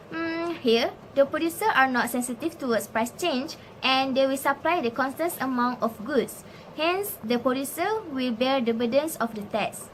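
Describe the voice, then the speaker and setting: A woman's voice: fairly high pitch, a bit bright, a little hiss or hum, low-quality recording. A young woman with a Malaysian accent acting as an eager, playful student who answers her teacher and seeks approval. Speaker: student in a classroom skit. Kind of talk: acted classroom answers and presenting.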